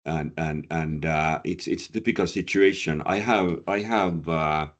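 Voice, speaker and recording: Very deep, slow, halting man. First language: Finnish. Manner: halting, many fillers and repeated words. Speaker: career coach and presenter. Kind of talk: answering audience questions after a talk.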